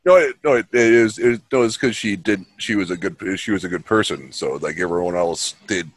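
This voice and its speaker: A man co-hosting a podcast, chatting about a movie in a halting way, repeating words and laughing often. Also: deep voice. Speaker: podcast co-host. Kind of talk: casual chat about a movie.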